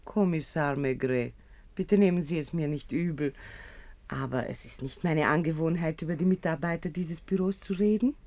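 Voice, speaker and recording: Prim, mellow-voiced secretary, played by an actress. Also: female voice, low-pitched, normal speed, close to an everyday voice. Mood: indignant, cool. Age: 30s. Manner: formal, guarded, curtly correct. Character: prim, loyal minister's secretary, woman in her thirties. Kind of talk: 1950s German radio play acting.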